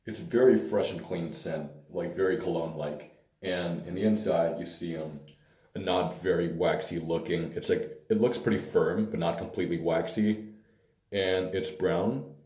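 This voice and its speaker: A man with a hoarse, worn-out voice, casually reviewing a hair product in a relaxed, rambling way with hesitant fillers. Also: low-pitched, a little slow. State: hoarse, lost voice. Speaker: pomade reviewer. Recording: echoey room, mic at a distance.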